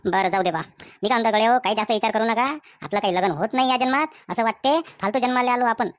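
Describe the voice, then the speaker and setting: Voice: mid-range voice, quick pace, dry recording, clean studio sound, natural voice. A performer voicing a glum, self-pitying village man of thirty-six in a comic cartoon, grumbling in colloquial Varhadi Marathi from Vidarbha. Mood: resigned. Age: 30s. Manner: colloquial, grumbling, rhetorical questions.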